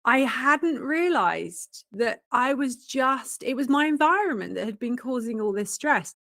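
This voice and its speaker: A woman's voice: high-pitched, animated, measured pace, fairly bright tone, no echo, clean studio sound. A British woman from the UK in her forties, a professional organizer, chatting as a guest in an interview with a self-deprecating, storytelling manner. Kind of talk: conversational interview.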